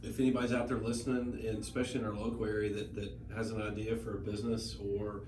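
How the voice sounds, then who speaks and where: Rambling thirty-something man, low voice, echoey room. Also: little pitch movement, fast talker. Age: 30s. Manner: rambling, many restarts and repeated words. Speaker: guest, brewery owner. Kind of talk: casual interview chat. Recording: echoey room, noisy, mic at a distance.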